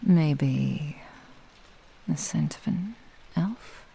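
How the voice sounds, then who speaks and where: Seductive, menacing fox-spirit witch, played by a woman, for whispered ASMR: dark, gravelly, husky, slow purring threat. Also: deep voice, heavily acted, rough recording. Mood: intrigued, condescending.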